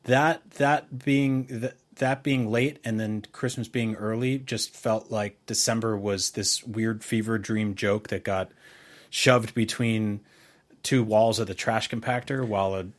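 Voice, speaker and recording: A middle-aged man chatting casually as a podcast co-host, rambling and halting, with colorful comparisons. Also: lowish voice, steady tone. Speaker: podcast co-host, video host and writer. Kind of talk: casual conversational podcast chat.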